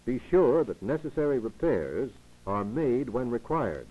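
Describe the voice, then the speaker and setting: A man reading scripted narration for a 1940s instructional film in a didactic, formal, measured manner. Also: mid-range voice, very animated, husky. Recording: muffled, lo-fi 1940s 16mm film soundtrack.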